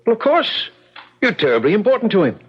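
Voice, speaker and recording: A man's voice: sits high, huge pitch swings, fairly quick, husky, exaggerated voice. An actor playing a middle-aged male ballet star, short-tempered yet protective, in a 1970s radio drama. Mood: soothing. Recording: muffled 1970s radio broadcast recording.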